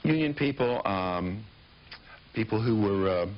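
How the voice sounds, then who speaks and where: Low deep guy, author on a talk show, lots of uhs, kinda muffled audio. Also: expressive, takes it slowly. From Pittsburgh, United States. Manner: thoughtful, hesitant with many fillers. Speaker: author and guest. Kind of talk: casual interview answers. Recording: muffled broadcast audio with faint hiss.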